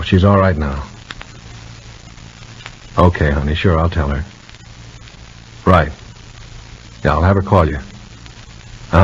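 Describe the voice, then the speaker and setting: An actor playing an easygoing, protective married man in a 1950s radio soap opera, talking in a folksy, conversational way. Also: low voice. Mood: reassuring. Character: Bill, easygoing married man and protective older-style brother. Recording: dull, noisy old radio recording.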